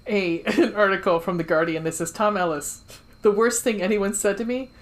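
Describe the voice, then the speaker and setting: A woman's voice: mid-range voice, moderate pace. A woman co-hosting a book club podcast in casual chat, with a jokey, informal, sweary way of talking.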